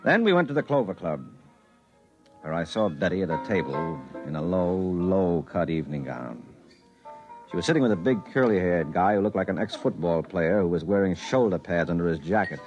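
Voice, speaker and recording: Low-voiced, world-weary American investigator. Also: male voice, very animated, normal speed, highs cut off. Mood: wry recounting. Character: earnest, world-weary male investigator reporting to his chief. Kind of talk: 1950s radio acting and first-person narration. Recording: 1950s radio broadcast with music behind.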